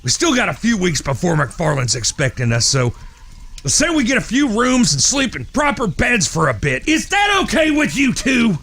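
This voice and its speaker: An actor playing a foul-mouthed, irritable eldest outlaw brother in a western audio drama, gruff and exasperated with a raspy voice. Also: male voice, fairly high pitch, very animated, normal speed, a bit bright, exaggerated voice. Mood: angry, exasperated.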